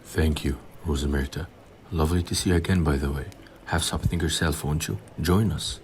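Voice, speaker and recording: A man acts an older government minister for an audio drama, courteous and grave. His voice sits extremely low, and he speaks formally and with measure. A faint noise lies under the recording. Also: brisk. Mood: genial. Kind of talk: amateur audio drama acting.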